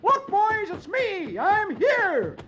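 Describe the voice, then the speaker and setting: A man voicing a whiny, hammy, lazy suburban husband from a comic strip in a strained squeaky falsetto, in 1950s radio style. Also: huge pitch swings, slow, noisy background, exaggerated voice. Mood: gleeful. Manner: exaggerated moaning and exclaiming. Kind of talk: 1950s radio funnies reading. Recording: muffled old radio recording.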